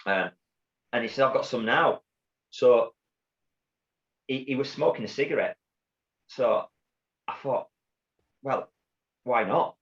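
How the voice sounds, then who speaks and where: A middle-aged man with a Yorkshire accent, telling his story as an interview guest in a halting way, with many restarts and repeated words. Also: medium pitch. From Bradford, England. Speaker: guest, former undercover police officer. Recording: dull lo-fi audio.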